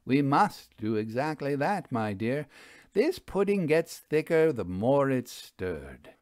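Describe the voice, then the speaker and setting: A man's voice: medium pitch, sing-song, takes it slowly, a little mellow, dry recording, studio quality. A man voicing a forthright, wry woman ghost investigator in a storybook, with emphatic old-fashioned formal diction. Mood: resolute.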